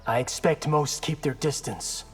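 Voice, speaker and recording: Cocky young game ninja, lightish pitch, lively, music underneath. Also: male voice, a little slow, husky. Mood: questioning. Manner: cocky, sardonic. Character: brash young ninja, defiant reformed gangster son. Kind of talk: video game voice acting.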